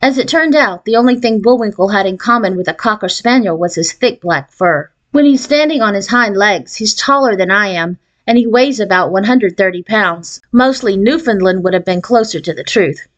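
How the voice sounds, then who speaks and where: Female reader for a children's chapter book, mid-range voice, expressive narration. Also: medium pitch, normal speed, few pauses. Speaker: audiobook reader. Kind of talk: expressive read-aloud of a children's novel.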